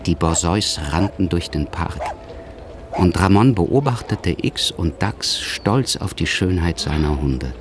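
Very deep-voiced male drama narrator. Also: lively intonation, slow pace, background noise. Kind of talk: scripted literary narration.

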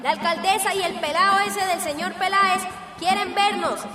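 An actress playing a fiery protest leader rallying a crowd in a radio soap. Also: female voice, very high voice, fairly even intonation, moderate pace. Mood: rousing. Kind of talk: radio soap opera acting. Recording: echoey, distant, loud music and crowd.

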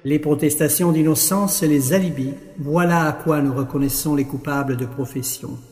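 Pompous judge in a booming echoey hall, male actor. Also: moderate pace, a little mellow, close to an everyday voice. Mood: stern. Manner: wordy, formal. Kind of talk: French audio drama acting. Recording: very echoey hall, long reverb.

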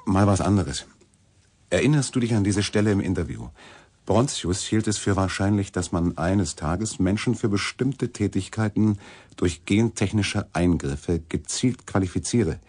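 Dark, husky, brooding male reporter. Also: deep voice. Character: troubled, cynical male investigative journalist. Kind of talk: German radio drama acting.

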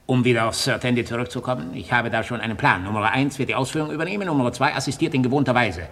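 An actor playing a pompous gangster boss with a commanding, clipped manner in an old radio crime parody. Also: male voice, mid-range voice, racing, fairly bright tone, close to an everyday voice. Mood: curt, impatient. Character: brisk, domineering male gangster boss, pompous and curt. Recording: old radio recording with long reverb.

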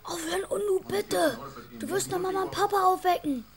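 A woman's voice: very high-pitched, fairly quick, crowd noise. A woman playing an earnest, caring little boy who coaxes and frets in a children's radio drama. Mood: anxious, hushed.

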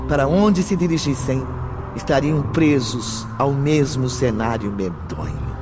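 A man's voice: mid-range voice, animated, a little slow, noisy background. Solemn male radio-drama narrator. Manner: solemn, theatrical.

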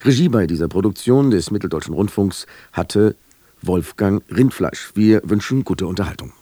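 A man giving a scripted radio introduction as an announcer, in a measured, formal manner. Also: low-pitched, animated, warm tone, slightly rough, dry recording. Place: dry studio.

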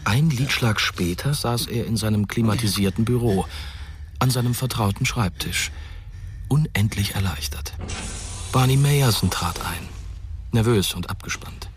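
An actor as the composed narrator of a German science fiction radio drama, reading scripted prose. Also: male voice, fairly low pitch, unhurried, a little hiss or hum, close to an everyday voice. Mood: measured, suspenseful. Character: composed male storyteller of a science fiction tale. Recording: studio narration over music bed.

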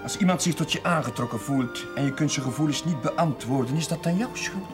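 A man playing a consoling village chaplain with a mid-pitched voice. He is earnest and gentle, in television drama style, with loud music behind. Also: brisk, close to an everyday voice.

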